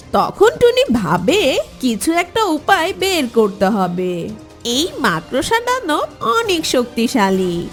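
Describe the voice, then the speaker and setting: High-voiced woman narrating a children's cartoon. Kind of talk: scripted children's story narration.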